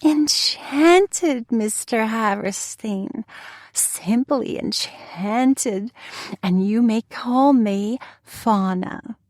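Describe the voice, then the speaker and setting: A coy spinster with a nasal drawl, pitched high and stretched slow. She gushes and flirts in a storybook reading, dry and close. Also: darkish tone, dry recording. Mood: gushing.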